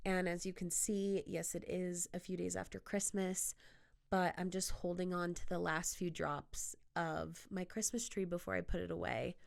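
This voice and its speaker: A woman's voice: lowish voice, creaky, a bit bright, clean tone. Nasal, sniffly young woman chatting. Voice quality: a little nasal. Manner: chatty, playful and bubbly, with quick asides. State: congested and sniffly from a cold. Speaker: podcast host. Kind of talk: casual solo podcast chat.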